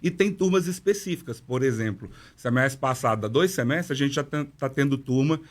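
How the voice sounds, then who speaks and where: Man, sign language teacher, radio interview guest, measured explanations, frequent "né", studio mic. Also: mid-range voice, lively intonation.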